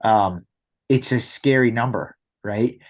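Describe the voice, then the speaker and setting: A man's voice: mid-range voice, slow. Dull-sounding recording of a man explaining. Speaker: company executive guest. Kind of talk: conversational interview explanation.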